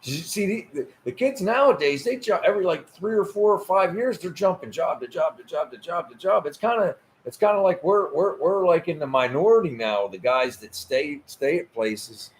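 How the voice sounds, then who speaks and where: A man in his fifties hosts a laid-back live show with his wife. His voice sits a little high, and he talks in an easygoing way, tripping over his words and laughing often. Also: expressive, moderate pace, hardly any pauses, a little hiss or hum. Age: 50s. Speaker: host. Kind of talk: casual livestream chat.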